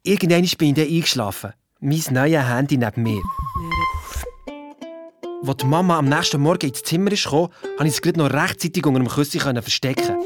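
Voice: medium pitch, lightly acted. An actor playing Luiz's part as a young schoolchild detective, with a Swiss German accent, retelling adventures colloquially and acting out what others said. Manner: colloquial, acts out quoted speech. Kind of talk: children's radio play, colloquial first-person retelling.

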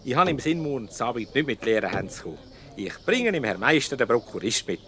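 Gallant, lively Swiss company director. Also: male voice, mid-range voice, fast. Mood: gallant, conspiratorial. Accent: Swiss German. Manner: gallant, bantering. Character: flattered, gallant company director enjoying flirtation. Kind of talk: radio comedy acting.

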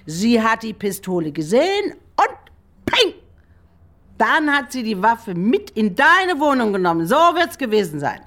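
A woman's voice: a little high, very animated, unhurried, fairly bright tone. An actress playing a dry, jealous woman, unhappily in love and tersely sardonic, in a German radio crime drama. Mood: defensive, cool.

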